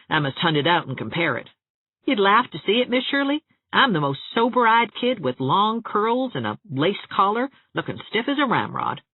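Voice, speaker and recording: A woman's voice: medium pitch, sing-song, moderate pace, slightly rough, no echo, phone-quality sound, voice pushed up. A woman voicing a cheerful, teasing, self-mocking young man in a storybook reading. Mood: wry, chatty.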